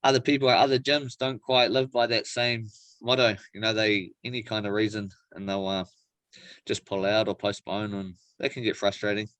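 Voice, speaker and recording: A man's voice: fairly low pitch, level tone, moderate pace, a bit bright, no room sound, poor audio. A man with a New Zealand accent, a fighter chatting casually in an interview in laid-back, slangy phrases. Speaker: professional MMA fighter being interviewed.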